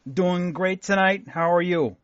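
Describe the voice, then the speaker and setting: A man's voice: sits high, unhurried. A man hosting a podcast, opening the show in an upbeat way and introducing his co-hosts. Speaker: podcast host. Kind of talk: casual podcast chat. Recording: dry, dull lo-fi sound.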